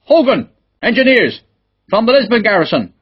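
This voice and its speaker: A man's voice: medium pitch, big pitch swings, brisk, husky, no echo, dull audio. A man voicing a hearty, blunt middle-aged Irish engineer captain in an audiobook. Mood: brisk, hearty. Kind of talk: audiobook character dialogue.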